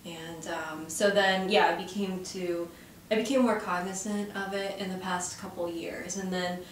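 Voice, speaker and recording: A chatty young woman from Arizona talks through an interview, often pausing on fillers. Her voice is heard from some distance away from the mic. It bounces around a very reverberant office, with faint noise underneath. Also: lowish voice, flat delivery. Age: young adult. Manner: chatty, lots of fillers, laughs easily. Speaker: guest. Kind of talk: casual interview conversation. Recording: very echoey office from far off.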